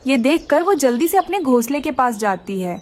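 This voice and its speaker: Woman narrator for children's tales: high-pitched, very expressive melody, scripted storytelling. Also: moderate pace.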